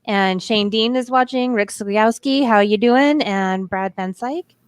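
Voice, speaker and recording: A woman co-hosting a casual chat, brisk and outspoken. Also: on the high side, smooth, dry recording. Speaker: co-host.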